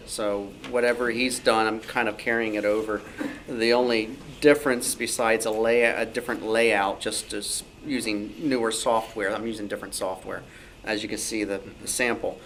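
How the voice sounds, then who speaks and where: A man's voice: on the low side, steady tone, normal speed, a bit bright. A man speaking informally on a panel at a club meeting, explaining things with examples and asides. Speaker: club newsletter editor, panelist. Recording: noticeable background noise.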